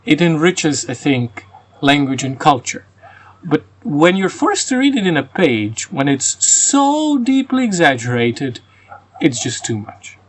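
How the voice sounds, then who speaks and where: A man's voice: on the high side, very animated, measured pace, a little hiss or hum. A man with a Croatian and Russian accent, reviewing a book casually and venting his frustrations, with fillers and restarts. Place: rural home.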